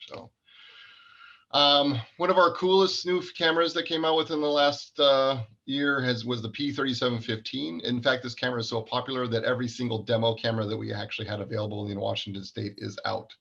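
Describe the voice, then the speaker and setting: A man presents products informally, explaining technical details at a mid-range pitch. The sound is dull and band-limited, like call audio. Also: fairly even intonation, a little fast, creaky, a bit bright.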